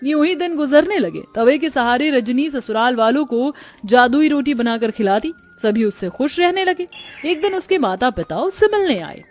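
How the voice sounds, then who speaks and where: Woman narrator, high voice, scripted cartoon storytelling, background music. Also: expressive, normal speed.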